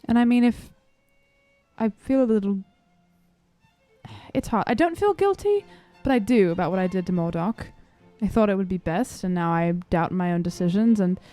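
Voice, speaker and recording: A woman's voice: mid-range voice, expressive, moderate pace, warm tone, soft background music. A woman playing a tabletop game, talking casually and improvising in character.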